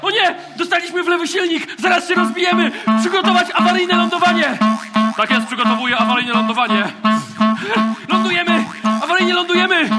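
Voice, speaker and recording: An actor playing a panicky, self-important spaceship captain barking melodramatic orders in a children's radio play. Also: male voice, very high voice, a little fast. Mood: panicked. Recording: loud music behind the voice.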